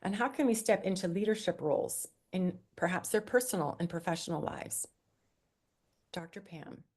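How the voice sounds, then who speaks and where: A woman's voice: on the low side, expressive, normal speed, darkish tone. A woman moderating a panel discussion, introducing speakers in an upbeat way.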